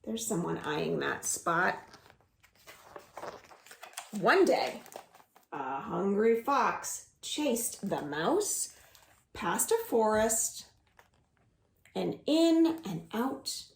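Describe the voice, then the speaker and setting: A young woman leading a children's storytime from home, reading stories and singing rhymes in a lively sing-song way. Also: medium pitch, very slow, gravelly, pauses often, reverberant, slight background noise. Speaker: children's librarian.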